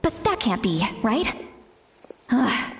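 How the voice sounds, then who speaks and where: A woman's voice: a little high, big pitch swings, slow pace, fairly bright tone, slightly rough, exaggerated voice. A woman playing a fondly fussy, self-assured shaman elder who wants to seem youthful, in a video game. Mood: muttering, fretful. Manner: chatty, self-assured, lightly fretful about seeming old-fashioned. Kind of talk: video game character acting. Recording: background music under the voice.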